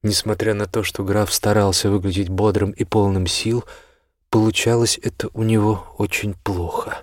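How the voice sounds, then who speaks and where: A man's voice: fairly low pitch, level tone, normal speed, no echo, studio quality. A man reading scripted fiction as the narrator of an audiobook.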